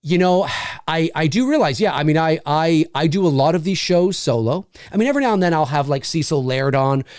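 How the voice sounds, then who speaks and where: A man in his forties hosting a solo live-stream Q&A, energetic and rambling with many fillers and restarts. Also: fairly high pitch, a little fast, clean tone, dry recording, studio quality. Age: 40s. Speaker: host.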